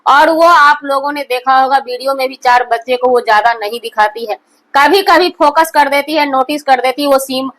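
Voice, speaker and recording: A woman's voice: high voice, normal speed, a bit bright. A woman gives opinionated news commentary in an emphatic, repetitive and accusatory manner. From India. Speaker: online commentary host.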